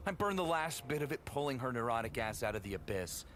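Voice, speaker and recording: A man's voice: mid-range voice, big pitch swings, quick pace, slight background noise. A man playing a bitter, sardonic, battle-worn warrior in an audio drama, with dramatic delivery. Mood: angry, anguished. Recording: loud music over the voice.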